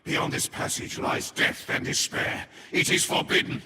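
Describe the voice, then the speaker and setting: A man voicing a haughty, ominous serpent-folk overlord in a fantasy game, speaking in solemn, formal, imperious pronouncements with a breathy, whispery tone. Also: unhurried, pushed and bright. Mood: stern warning. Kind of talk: scripted fantasy game lore narration. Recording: echoey hall reverb with ambient noise.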